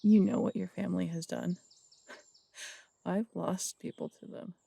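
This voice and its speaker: Gruff no-nonsense cop in an audio drama, voiced by a man, blunt threats, gravelly fry, fairly high for a man. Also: steady tone, a little slow, dark tone, no room sound, heavily acted. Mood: stern. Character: stern adult male cop who runs the morgue. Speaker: detective.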